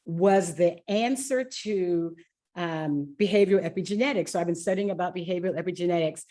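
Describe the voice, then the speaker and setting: A woman hosting a podcast interview in a conversational, emphatic and expressive way. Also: fairly low pitch, fairly quick. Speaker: podcast host.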